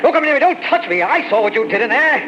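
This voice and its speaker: A man's voice: very high voice, very animated, quick pace, noisy background. An actor playing a frightened young scientist who narrates his story in a 1940s radio drama. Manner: tense, shaken storytelling. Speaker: narrator and character. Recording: dull old radio recording, with music.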